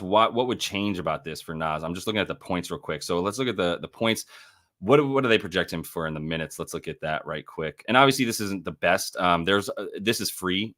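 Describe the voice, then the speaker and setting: A man's voice: deep voice, fairly quick, sharp tone. A young man hosting a casual sports betting livestream, thinking aloud and correcting himself as he works through numbers. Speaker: sports betting show host.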